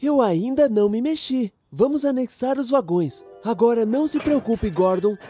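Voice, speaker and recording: A woman's voice: on the high side, animated, moderate pace, mellow, soft background music. A woman with a Brazilian accent voicing a cheerful, helpful young boy steam engine in a children's cartoon dub, eager and friendly. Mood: playful.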